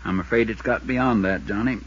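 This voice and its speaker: An actor playing a worried, folksy small-town insurance agent with a husky voice in a 1950s radio drama. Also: male voice, lowish voice, fairly quick, no echo. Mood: grave, worried. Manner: folksy, colloquial, emphatic. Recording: muffled 1950s radio recording.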